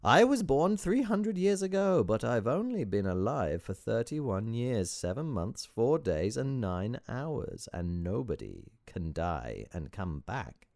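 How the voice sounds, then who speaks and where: A man voicing a mischievous, falsely modest young hermit sage in an audiobook, with a theatrical, gleeful sales-pitch manner. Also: big pitch swings, slow, hardly any pauses, darkish tone, no echo, clean studio sound. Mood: gleeful, insistent.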